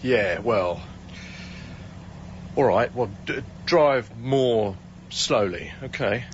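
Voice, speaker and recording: An actor playing a flustered British traffic policeman in a radio comedy, halting and stammering as he talks. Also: medium pitch, lively intonation. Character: flustered, slow-witted male traffic policeman. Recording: muffled, noisy roadside radio scene.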